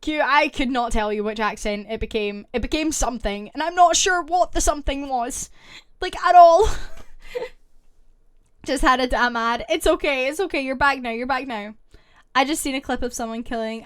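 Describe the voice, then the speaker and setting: A woman's voice: sits high, a bit bright, smooth. A woman streamer reading online stories aloud and reacting to her chat in a casual live-stream. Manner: reads posts aloud and reacts with quick asides to chat.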